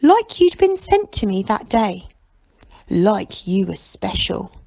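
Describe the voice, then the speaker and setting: Woman voicing a folksy, animated fairground stallholder man. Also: mid-range voice, slow, vocal fry, heavily acted. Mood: wistful. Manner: folksy dialect speech, marvelling.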